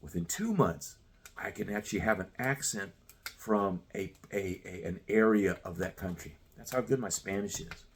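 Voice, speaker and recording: A lower-voiced man in his sixties rants at his listeners, swearing and challenging them directly. He circles back on his own phrases as he pushes his point. A faint hiss of noise sits behind him. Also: expressive, moderate pace. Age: 60s. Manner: profane, confrontational, repetitive. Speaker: commentator. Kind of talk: ranting monologue.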